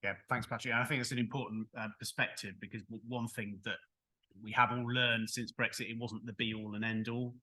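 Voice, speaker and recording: A man moderates a finance panel, and he keeps stopping to restart his sentences. His voice sits at a middle pitch, and he speaks in a relaxed, conversational way. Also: a little fast, rough recording. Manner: hesitant, many restarts and fillers.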